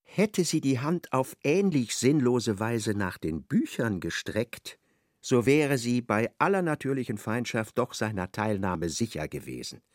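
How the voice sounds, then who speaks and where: A man narrating a literary radio play in measured, expressive storytelling. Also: mid-range voice, no echo, studio quality.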